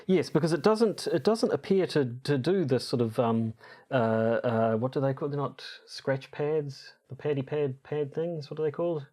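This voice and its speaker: A man with a mid-range voice talks as a podcast co-host. He explains material from his notes casually and hesitantly, often halting to hunt for the right word. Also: mid-range voice, animated, mellow. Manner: hesitant, halting, searching for words.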